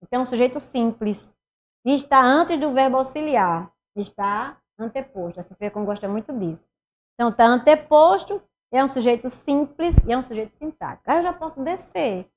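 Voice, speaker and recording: Animated Northeastern Brazilian woman teaching. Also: sits high, moderate pace. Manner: animated, talks students through each step, mimics speech habits. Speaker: Portuguese teacher. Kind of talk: online exam-prep class. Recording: somewhat dull lo-fi sound.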